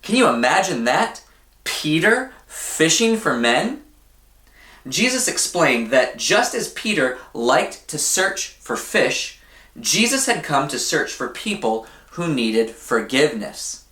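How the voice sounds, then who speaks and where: A man reading a children's story aloud in an expressive, deliberate way, often putting questions to his young listeners. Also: a little high, roomy sound. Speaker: church storybook reader for children.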